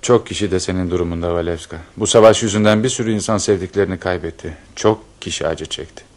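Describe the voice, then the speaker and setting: An actor as a deep-voiced, devoted lover. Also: male voice, steady tone, quick pace, natural voice. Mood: tender, hesitant. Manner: tender, dramatic. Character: devoted, anxious male lover of a married countess. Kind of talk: radio theatre acting.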